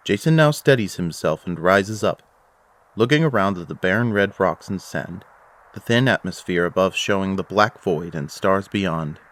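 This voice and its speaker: A man's voice: deep voice, moderate pace, a bit bright. A man giving scripted narration for an audio drama, telling the story as its narrator. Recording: faint background noise.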